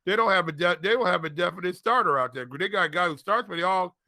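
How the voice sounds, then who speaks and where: A man's voice: sits high, fast talker, bright, forceful tone, dry recording, studio quality. A man co-hosting a casual fantasy football show, talking colloquially and repeating phrases for emphasis. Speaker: fantasy football podcast co-host and analyst. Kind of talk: casual sports talk.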